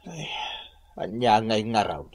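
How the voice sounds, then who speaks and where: A man's voice: fairly low pitch, animated, slow pace, darkish tone, dry recording. A man with a Filipino accent playing a patient, concerned middle-aged husband and father in a radio drama. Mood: concerned, consoling. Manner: concerned, questioning. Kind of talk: Ilocano radio drama acting.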